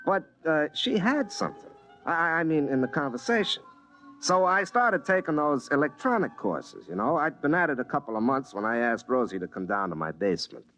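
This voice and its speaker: A man's voice: on the high side, very animated, brisk, fairly bright tone, rough recording. An actor playing an easygoing young small-town telephone lineman in a 1950s radio comedy, with a folksy, rambling, hesitant delivery. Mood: confiding. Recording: vintage radio broadcast with faint music.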